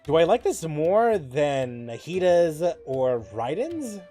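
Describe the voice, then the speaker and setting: Animated, higher-voiced man streaming games. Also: faint music behind. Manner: halting, musing, informal. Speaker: gaming streamer. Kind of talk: casual live game commentary.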